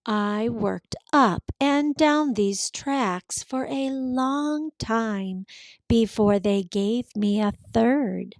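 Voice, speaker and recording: Storybook train, played by a woman: big-hearted, encouraging, hearty, very measured delivery. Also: on the high side, no echo. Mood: reassuring. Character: friendly, encouraging grown train, big and fast. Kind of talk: children's storybook read-aloud.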